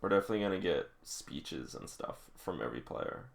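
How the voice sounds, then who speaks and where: A man's voice: low voice, lively intonation, a little fast, gravelly. A man doing a read-along with casual, emotional running reactions, often exclaiming like an absorbed fan. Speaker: reader reacting to a manga.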